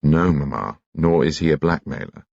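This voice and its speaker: A man's voice: a bass voice, moderate pace, vocal fry, a little mellow, no echo, dull audio. A man voicing a reserved, dry-humored male detective inspector. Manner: dry, exasperated.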